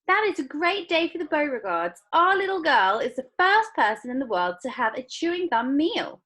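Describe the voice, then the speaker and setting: A woman's voice: sits high, sing-song, normal speed, a bit bright, pitched above the performer's own voice. A woman doing a brash, bossy storybook dad. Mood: proud. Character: pushy, proud middle-aged father. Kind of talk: storybook read-aloud.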